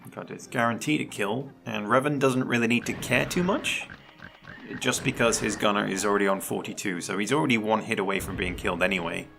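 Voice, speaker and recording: A man commentating a video game match live, chatty and analytical, his voice a little croaky. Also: on the low side, a little fast, bright, forceful tone, soft background music. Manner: chatty and analytical, laughs often. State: slightly croaky voice. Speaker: esports commentator.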